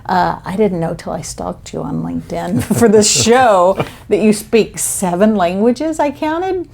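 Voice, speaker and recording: A woman's voice: medium pitch, expressive, unhurried, creaky. A woman hosting a podcast interview, effusive and affirming, asking long, winding questions. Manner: effusive, warm praise, frequent affirmations. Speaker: podcast host. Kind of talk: casual interview chat.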